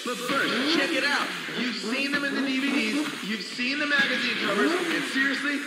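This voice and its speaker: An actor playing an excitable young American street-race MC, slangy and hyped, announcing an event. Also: male voice, extremely high pitch, moderate pace, far from the mic. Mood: excited. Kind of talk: hyped street-racing event commentary over PA. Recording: echoey PA from afar, loud music behind.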